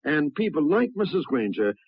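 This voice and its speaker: Husky man reading a vintage radio commercial. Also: on the high side, big pitch swings, moderate pace, no echo. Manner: formal, persuasive, addressing housewives. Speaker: radio advertising presenter. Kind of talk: 1960s radio commercial read. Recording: dull, dry 1960s radio recording.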